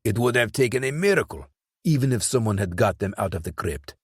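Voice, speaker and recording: A man's voice: on the low side, lively intonation, brisk, husky, no echo, clean studio sound. A man voicing a nervous, blustering male hired killer who stumbles defensively through his explanations.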